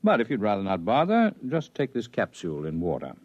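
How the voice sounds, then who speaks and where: A man's voice: fairly low pitch, huge pitch swings, fairly quick, no echo. A man playing a cool, evasive miracle healer, slyly brusque, in 1950s radio drama style. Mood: coolly evasive. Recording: muffled vintage 1950s radio recording.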